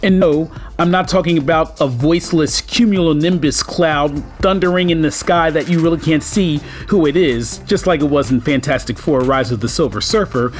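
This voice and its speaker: A man with a fairly high-pitched voice narrates as the host of an online video. He speaks to fans informally and with emphasis, over light background music. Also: moderate pace, few pauses. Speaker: YouTube host. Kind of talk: scripted narration for an entertainment video.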